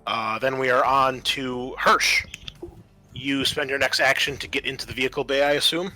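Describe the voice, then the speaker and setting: A man's voice: medium pitch, fairly even intonation, normal speed, pushed and bright, low-quality recording. A man narrating a tabletop roleplaying game as game master, casual and descriptive in his delivery. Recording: music under the voice. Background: background music.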